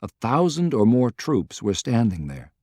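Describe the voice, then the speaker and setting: A man's voice: medium pitch, big pitch swings, unhurried, mellow, no room sound, clean studio sound. A man reading a historical novel as scripted, dramatic audiobook narration.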